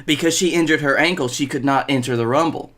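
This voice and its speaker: A man's voice: a little high, fast talker, fairly bright tone. A young man co-hosting a podcast in casual, conversational chat. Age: young adult. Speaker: podcast co-host. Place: bedroom, video call.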